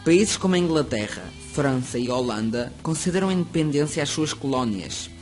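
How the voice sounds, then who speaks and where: A man's voice: a little high, moderate pace. Man reading a school history project. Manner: steady reading of a prepared text. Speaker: student narrator. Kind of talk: school project narration read aloud. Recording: music playing under the voice. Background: music.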